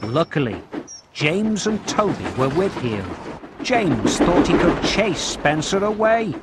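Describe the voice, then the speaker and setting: A man narrating a children's train story and game in a clear storytelling manner, addressing young children. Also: a little high, sing-song, a little slow, heavy background noise. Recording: loud music behind the voice. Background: music.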